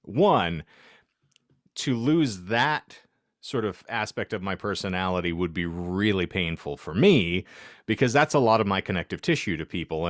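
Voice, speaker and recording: Male podcast co-host, low register, close dry sound, wry and verbose casual storyteller with a self-deprecating streak. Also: dry recording. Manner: wordy, wry, self-deprecating, with ornate vocabulary.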